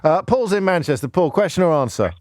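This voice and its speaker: Man, English accent, radio presenter, animated pitch, dry studio sound, playful banter, teasing. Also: dry recording. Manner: playful, teasing, quick to interject. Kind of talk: live phone-in banter. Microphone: close studio microphone.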